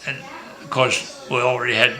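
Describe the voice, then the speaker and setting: This older man from Maryland has a bright, forward voice and reminisces at length. He rambles through his stories with restarts and the odd joke, the way a grandfather talks at a family gathering. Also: mid-range voice, little pitch movement, normal speed, slight background noise. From Maryland, USA. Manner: rambling, joking storyteller with many restarts. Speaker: grandfather being interviewed. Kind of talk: casual family interview, reminiscing. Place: family home at a reunion.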